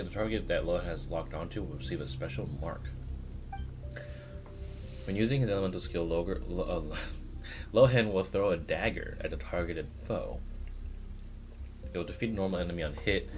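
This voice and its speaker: A male streamer with a deep voice comments on a game as he plays. He reads on-screen text and drops casual, profane asides, with music clearly playing behind him. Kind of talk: live playthrough commentary, reading game text aloud.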